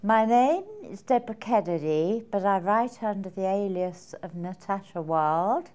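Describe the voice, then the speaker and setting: A middle-aged woman giving a personal testimonial in a halting, reflective, wordy way. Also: mid-range voice, darkish tone. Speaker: seminar attendee giving a testimonial.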